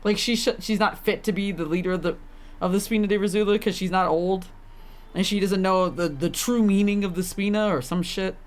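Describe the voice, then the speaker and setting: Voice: mid-range voice, steady tone, fairly quick. A game streamer giving casual live commentary, talking in an incredulous, slangy way with plenty of swearing.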